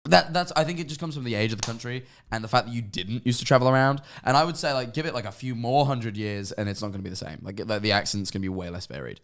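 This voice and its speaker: A man's voice: medium pitch, quick pace, hardly any pauses, a bit bright. Young man, East Midlands English accent, casual stream chat, rambling, sweary. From East Midlands, England. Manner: rambling and sweary, full of fillers.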